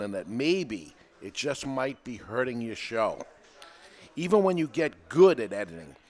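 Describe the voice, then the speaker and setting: A man with a New England accent hosting a live podcast in a studio, talking plainly and pushing each point with energy. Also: medium pitch. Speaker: podcast host.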